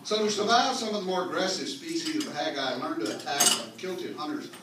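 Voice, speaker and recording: A man's voice: on the high side, fairly quick, crowd noise. A man reading a tongue-in-cheek mock history as a lecture to a gathering, with mock-earnest explaining and folksy asides. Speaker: speaker reading a humorous mock history at a clan meeting. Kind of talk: tongue-in-cheek comic lecture read to an audience. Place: indoor meeting room with live audience. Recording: very echoey room, distant mic, faint noise.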